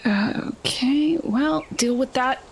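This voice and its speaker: A woman's voice: high voice, slow pace, a little hiss or hum. A woman talking casually as a player in a tabletop game.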